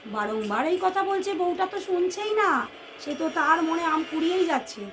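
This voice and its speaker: Female storyteller, high pitch, expressive suspenseful delivery speaking straight to listeners, over background music. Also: moderate pace. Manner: expressive, addresses listeners as friends, repeats phrases for suspense. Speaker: storyteller, channel host. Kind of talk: dramatic oral ghost storytelling to listeners.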